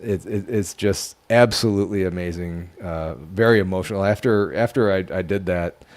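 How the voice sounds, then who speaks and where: Guy on a paranormal podcast telling his story, kinda low voice, trips over his words a lot. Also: normal speed, darkish tone. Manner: stammering repeats, emphatic. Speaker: guest paranormal investigator. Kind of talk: casual storytelling chat.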